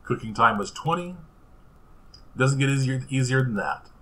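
A man's voice: mid-range voice, moderate pace. A man hosting a home cooking show in a small kitchen, talking casually with some fillers as he cooks and tastes. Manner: relaxed, chatty, with fillers and restarts.

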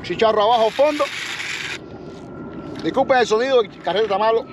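Male kayak-fishing vlogger, middle-aged, very high voice, colloquial and exclamatory, loud outdoor water noise around him. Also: a little fast. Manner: exclaiming, colloquial, swearing casually. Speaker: fishing channel host. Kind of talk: casual excited fishing vlog commentary. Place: outdoors in a kayak on open sea. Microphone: action camera mounted on the kayak.

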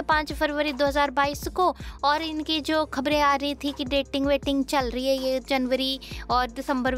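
Cheeky high-voiced gossiping woman. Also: fairly bright tone. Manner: cheeky, gossipy, addresses listeners teasingly. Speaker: gossip show voice-over host. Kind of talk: teasing celebrity gossip chat.

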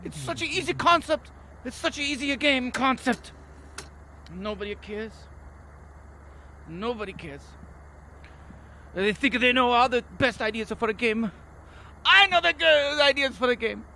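Whiny broken-english game dev, obsessive and sorry for himself, high voice on noisy tape. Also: male voice, animated, a little slow, creaky, pauses often, bright, forceful tone, heavily acted. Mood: wounded, self-pitying. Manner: whining, repetitive, mangled grammar. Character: wounded, self-pitying, obsessive male game designer with broken English. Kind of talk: improvised comedic game acting. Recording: noisy cassette-tape recording.

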